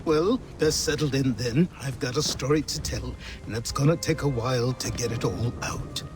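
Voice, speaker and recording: An actor playing a gruff, weary old mentor, a cynical and sardonic retired assassin in a video game cutscene. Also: male voice, medium pitch, moderate pace, over music, close to an everyday voice. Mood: lecturing, sardonic. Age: older.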